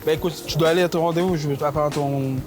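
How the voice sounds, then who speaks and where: A man's voice: a little high, fairly even intonation, quick pace. A man in his twenties making casual chat, politely asking questions and echoing answers back. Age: 20s. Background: music.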